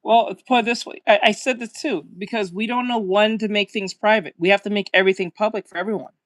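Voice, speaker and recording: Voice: medium pitch, steady tone, quick pace. Offhand swearing reaction host. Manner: offhand, swearing, trailing off quietly. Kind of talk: casual reaction commentary.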